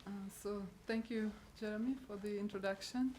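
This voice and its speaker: A middle-aged woman with a Czech accent gives a personal testimony about becoming a missionary. She talks in a halting way, often pausing to search for words, and her voice sits at a middling pitch. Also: steady tone, heavy background noise. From Czech Republic. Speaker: missionary speaker.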